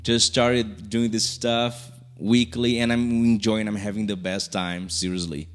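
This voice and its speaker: A man's voice: on the low side, little pitch movement. A young man from Brazil with a Brazilian Portuguese accent, speaking English as a second language. He talks casually to camera and often restarts his sentences. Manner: halting, many restarts and fillers. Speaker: YouTuber. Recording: soft music underneath.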